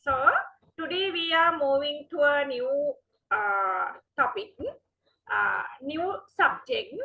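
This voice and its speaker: A woman with a Sri Lankan accent giving an online university lecture, slow and explanatory with frequent fillers. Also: high voice, expressive, gravelly, phone-quality sound.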